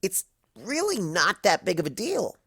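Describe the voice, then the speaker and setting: A narrator reads a brash but bashful young cartoon pony mare. She is hesitant and embarrassed, with a lively pitch and a dry, close sound. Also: unhurried, breathy edge, no room sound, full character voice.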